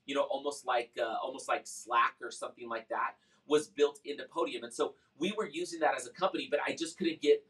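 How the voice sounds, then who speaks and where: A man's voice: mid-range voice, fairly quick, a bit bright, distant mic, rough recording. A man talking casually and conversationally as a customer, explaining his experience in a product testimonial. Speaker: software customer giving a review.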